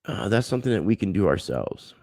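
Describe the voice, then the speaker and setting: A man's voice: on the low side, sing-song, a little fast, mellow, dry recording, clean studio sound. A man hosting a livestream, chatting casually and earnestly to his viewers. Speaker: livestream host.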